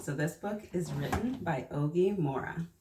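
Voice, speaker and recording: A woman hosting children's story time, reading aloud and talking to young listeners in an expressive, encouraging way. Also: on the low side, normal speed. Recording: echoey room, distant mic, faint noise.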